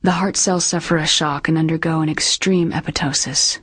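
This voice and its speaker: An actress playing a sombre scientist in a video game, confiding in a husky, breathy voice and explaining precisely. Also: female voice, low voice, fairly even intonation, normal speed, a bit bright, dry recording. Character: sombre, secretive female scientist, calm and confiding. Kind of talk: dramatic video game voice acting.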